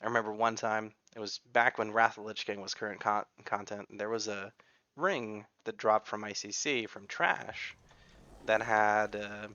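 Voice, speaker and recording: A man's voice: on the low side, fairly even intonation, normal speed, pushed and bright, no echo, lo-fi sound. A man with an American accent giving chatty, rambling gameplay commentary and often correcting himself. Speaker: gaming YouTuber.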